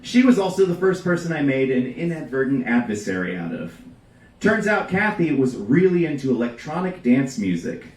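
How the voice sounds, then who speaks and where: Man, live comedic storytelling, deadpan and deliberate, medium pitch, echoey room, distant mic. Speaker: reader at a live storytelling show. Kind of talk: comedic storytelling read aloud to a live audience. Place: live storytelling venue with audience.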